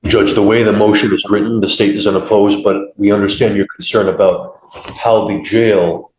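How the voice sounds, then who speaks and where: A man's voice: on the low side, fairly even intonation, moderate pace. An American man speaking as a prosecutor in formal courtroom argument, choosing careful procedural wording. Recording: echoey courtroom, far from microphone.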